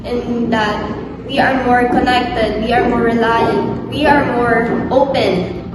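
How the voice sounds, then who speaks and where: An eighteen-year-old woman with a Filipino accent, a student sharing her views in a measured way. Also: a little high, fairly even intonation. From Philippines. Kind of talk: reaction video commentary. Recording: distant, echoey hall with music.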